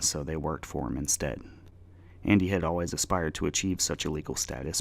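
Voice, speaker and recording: A man's voice: low-pitched, creaky. A man narrating fiction as a scripted dramatic reading. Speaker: audiobook narrator.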